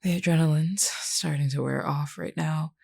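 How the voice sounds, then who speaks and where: A woman's voice: sits low, steady tone, normal speed, creaky, a little mellow, husky, heavily acted. A woman voicing a flirty, wounded female werewolf, teasing and weary, sighing through pain in an intimate audio roleplay. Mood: strained, tired. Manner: teasing, sighing, calls listener hun.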